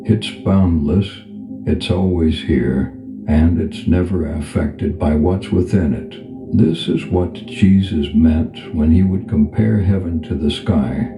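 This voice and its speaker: A man leading a guided meditation as a calm spiritual teacher, speaking in a gentle, unhurried, instructional manner. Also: low-pitched, gravelly. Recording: echoey reverb over background music. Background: music.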